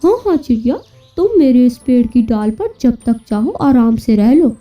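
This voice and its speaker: A woman's voice: fairly high pitch, animated, normal speed, dark tone, lightly acted. Lady doing a kindly talking tree, kids cartoon, reassuring, music behind. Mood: welcoming. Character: kind, welcoming old-fashioned talking papaya tree. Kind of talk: children's cartoon story voice acting. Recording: muffled, distant-sounding, music behind.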